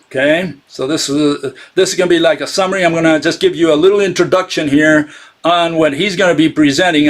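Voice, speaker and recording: A man hosting a casual live-streamed talk, sarcastic and chatting with his viewers as he goes. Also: a little high. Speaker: channel host giving a critique.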